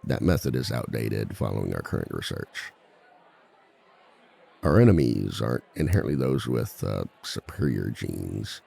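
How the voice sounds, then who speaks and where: A man playing a composed, dry-witted villain who teaches a seminar in a scripted ASMR roleplay, with a measured, polite authority and a gravelly voice. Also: very animated, mellow, a faint crowd, full character voice. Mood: patient, dry. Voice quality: very deep, gravelly. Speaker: seminar instructor.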